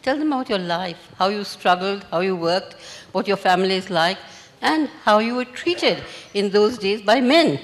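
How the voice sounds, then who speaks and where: Older woman with a reflective, measured voice. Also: mid-range voice, lively intonation, big-room sound. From India. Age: 80s. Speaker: panelist, memoir author. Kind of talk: panel discussion. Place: large stage at a literature festival. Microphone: handheld mic.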